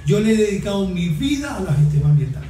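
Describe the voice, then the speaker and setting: Un hombre venezolano de más de sesenta años que habla en un panel con énfasis, recalcando y repitiendo palabras. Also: male voice, sits high, big pitch swings, mellow. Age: 60s. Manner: emphatic, deliberate with stressed repetition. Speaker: guest, environmental activist. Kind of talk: panel discussion, impassioned speech. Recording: echoey room with faint background noise.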